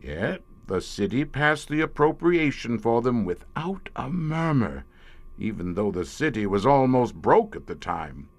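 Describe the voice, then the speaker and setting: An actor playing a middle-aged police inspector, a former professor haunted by a secret horror, brooding and theatrical in an old-time radio horror drama. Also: male voice, medium pitch, big pitch swings, a little slow, gravelly, no room sound, heavily acted. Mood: explaining, wry.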